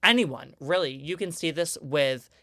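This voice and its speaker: A man's voice: measured pace, pushed and bright, no echo, studio quality. Earnest young man ranting on his podcast. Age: 20s. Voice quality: higher-pitched voice. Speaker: podcast host. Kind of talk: solo podcast monologue.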